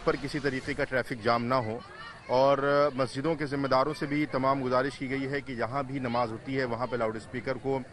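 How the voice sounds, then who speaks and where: A man speaking as an interviewed spokesman, measured and formal, pausing hesitantly. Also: mid-range voice, little pitch movement, fairly bright tone. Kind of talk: spontaneous news soundbite.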